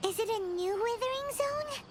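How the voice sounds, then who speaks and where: Squeaky tiny girl companion. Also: normal speed, heavy background noise. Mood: excitable. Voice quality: very high, squeaky. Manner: excitable, exclaiming. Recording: game audio over loud music.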